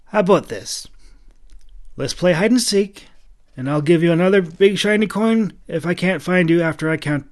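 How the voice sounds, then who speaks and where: A man's voice: high-pitched, moderate pace, voice pushed up, lightly acted. A man voicing a reluctant, wry masked warrior in a fantasy audiobook, grudging and evasive. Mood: exasperated.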